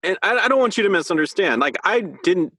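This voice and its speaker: A man co-hosts a gaming podcast with very lively pitch that swings up and down. His talk is casual and chatty, recorded dry and close. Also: quick pace, dry recording. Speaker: podcast co-host.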